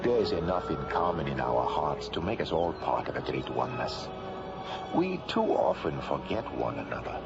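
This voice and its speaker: A man in a radio drama playing an earnest scientist and space traveller, with solemn, measured, formal phrasing. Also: very deep voice, big pitch swings, vocal fry, heavily acted. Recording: dull lo-fi old radio recording. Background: loud music.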